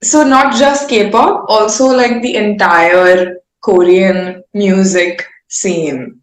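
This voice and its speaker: Young woman, mid-pitched, informal and effusive interviewee in a roomy, echoing space. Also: steady tone, takes it slowly. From India. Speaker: singer-songwriter guest. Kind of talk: candid casual interview chat.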